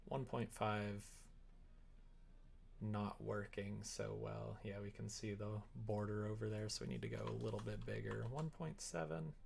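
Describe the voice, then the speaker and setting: Male streamer, lower register, strong vocal fry, informal work-along chat, half talking to himself. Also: steady tone, unhurried, darkish tone. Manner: informal, muttering to himself while working. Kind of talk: casual livestream chat while coding a visual novel.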